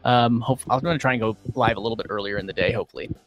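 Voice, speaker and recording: A man's voice: medium pitch, racing, a bit bright. Upbeat rambling man, faint music behind. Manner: rambling, upbeat, many fillers and restarts. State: losing his voice. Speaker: livestream host. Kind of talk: casual livestream chat.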